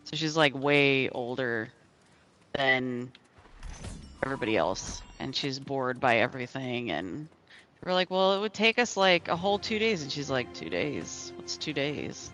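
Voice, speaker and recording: A man's voice: medium pitch, sing-song, a little slow, bright, forceful tone, soft background music. A man in his thirties chatting casually with fellow players over a headset, rambling with lots of fillers. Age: 30s. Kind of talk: casual gaming chat.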